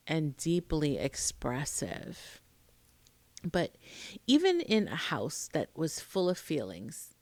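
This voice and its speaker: Low-pitched American woman with creaky voice. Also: dry recording. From Queens, New York, United States. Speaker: vlogger, emotional intimacy coach. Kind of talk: personal storytelling to camera.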